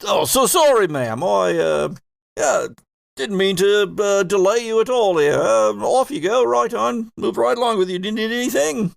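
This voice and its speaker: A man's voice: high-pitched, lively intonation, normal speed, gravelly, no echo, clean studio sound, voice pushed up, exaggerated voice. A man voicing a flustered, apologetic station guard who stammers deferentially in a tabletop game.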